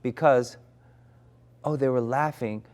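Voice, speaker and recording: A man's voice: mid-range voice, takes it slowly, darkish tone. A man in his forties, an actor, reflecting candidly in conversation, often restarting his sentences. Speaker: actor, interview guest.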